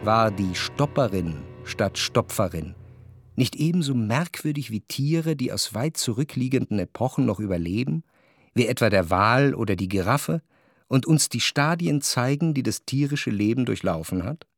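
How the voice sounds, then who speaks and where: An actor playing a reflective male narrator, delivering measured, introspective literary narration in a German radio play. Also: medium pitch, animated, no echo, studio quality, faint music behind. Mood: analytical, musing. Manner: measured, introspective, long flowing sentences. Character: reflective, jealous male narrator recalling his past.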